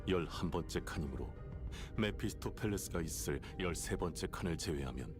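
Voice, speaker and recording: An actor playing a coldly logical, analytical video game character who reports facts in a formal, methodical way. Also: male voice, low-pitched, animated, racing. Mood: analytical, grave. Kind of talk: scripted video game voice acting. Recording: game music behind the voice.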